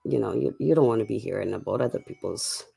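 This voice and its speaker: A livestream host chatting casually and in a laid-back way to viewers late at night, with a voice going hoarse from a cough. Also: medium pitch, fast, warm tone. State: sick with a cough, voice getting hoarse.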